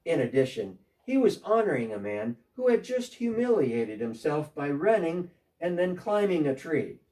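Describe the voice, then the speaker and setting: A man's voice: a little high, sing-song, normal speed, warm tone, far from the mic. A man performing a first-person dramatic monologue as a short, eager, repentant tax collector, telling his story earnestly as if on a phone call. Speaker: dramatic reader.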